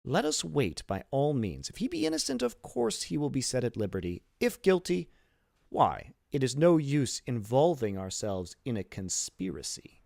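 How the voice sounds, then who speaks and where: A man's voice: medium pitch, wildly swooping pitch, normal speed, gravelly, a little mellow, no room sound, studio quality, pitched above the performer's own voice. A man voicing a scheming, self-serving ship's officer in an audiobook, insinuating and formally worded. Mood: falsely agreeable.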